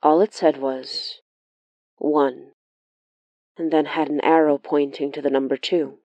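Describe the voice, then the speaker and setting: A woman's voice: low voice, level tone, a little slow, dark tone, dry recording, dull audio. A woman playing a wry, watchful sorcerer who narrates a horror audio diary in a confiding, matter-of-fact way.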